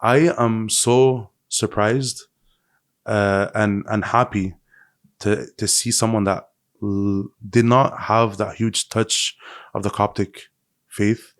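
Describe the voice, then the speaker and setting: A man's voice: fairly low pitch, fairly even intonation, slow, dry recording. A man hosting a podcast in a conversational style, feeling his way through explanations with restarted phrases. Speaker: podcast host.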